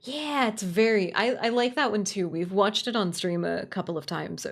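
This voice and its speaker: Woman, creaky mid-range voice, casual livestream chat, gushing, repetitive emphasis. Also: medium pitch, normal speed. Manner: gushing, repeats phrases for emphasis, casual swearing. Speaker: livestream host. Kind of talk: casual livestream chat, reading and answering viewer comments.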